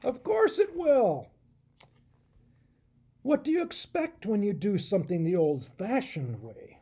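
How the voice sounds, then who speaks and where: A man's voice: high voice, sing-song, slow pace, mellow, husky, voice pushed up, heavily acted. A pompous old-ways-hating goat professor from a storybook, played by a man. He scoffs emphatically in a raised voice that swoops up and down, full of self-assurance. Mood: scoffing.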